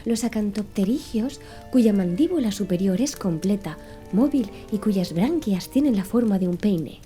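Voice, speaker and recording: An earnest, pedantic man of an audiobook novel , voiced by a woman, explains things with careful precision. The tone is very dark and gentle, with lively intonation and faint music behind it. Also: medium pitch, moderate pace, natural voice. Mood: didactic, patient. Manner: didactic, precise. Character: Conseil, earnest, pedantic man reciting fish classifications. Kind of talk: audiobook character dialogue.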